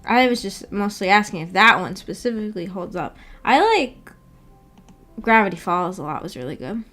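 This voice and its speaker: Young woman streamer, mid pitch, rambly casual chat, answering comments. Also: very animated, normal speed, fairly bright tone, soft background music. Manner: rambling, giggly, informal, reads comments aloud. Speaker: VTuber livestreamer.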